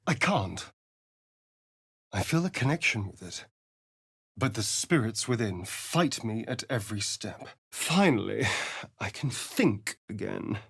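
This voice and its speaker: Sardonic vampire rogue, game character, very expressive pitch, arch drawl, dry close recording. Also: male voice, measured pace, gravelly, long pauses, slightly rough, no room sound, exaggerated voice. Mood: weary, reflective. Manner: theatrical, arch, drawling, with dramatic swells and hushes. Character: suave, sardonic, theatrical male vampire rogue. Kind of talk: video game character voice lines.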